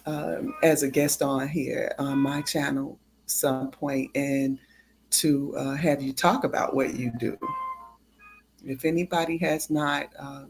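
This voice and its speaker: Slow, halting livestream host of unclear sex. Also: medium pitch, vocal fry, slight background noise, rough recording. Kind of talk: casual livestream talk.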